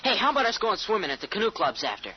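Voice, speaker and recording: A woman's voice: medium pitch, sing-song, rapid-fire, husky. A woman playing a brash, slangy, teasing teenage boy in an old-time radio drama. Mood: boisterous. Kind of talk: 1940s radio acting. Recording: muffled old radio recording.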